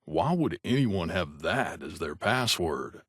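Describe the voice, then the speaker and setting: Low-voiced, wry mercenary. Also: male voice, big pitch swings, slow, fairly bright tone, husky, dry recording, studio quality, full character voice. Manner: wry, grumbling, conversational. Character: wry, gruff male mercenary companion in a wasteland. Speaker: video game voice actor. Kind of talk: game character voice lines.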